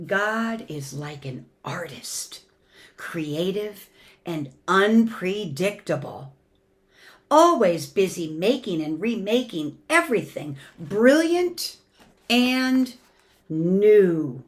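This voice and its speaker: A woman with a mid-range voice speaks as a storyteller for young children at church. Her pace is slow and deliberate. She reads expressively, moving between emphatic and hushed delivery. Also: mid-range voice, sing-song. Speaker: church family ministry storyteller. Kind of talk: children's picture book read-aloud.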